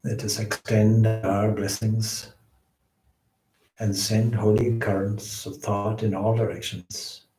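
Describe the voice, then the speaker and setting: A man leading a guided meditation as a swami, with measured, solemn phrasing and frequent pauses. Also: fairly low pitch, little pitch movement, warm tone, slight background noise. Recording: roomy, echoing indoor sound.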